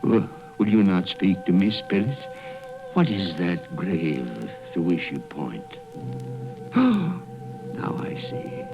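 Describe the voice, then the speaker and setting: A muffled old radio drama with music behind carries a fearful old miser. He speaks slowly and theatrically, his pitch swooping as he haltingly implores for redemption. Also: wildly swooping pitch, exaggerated voice. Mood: dread, anguish.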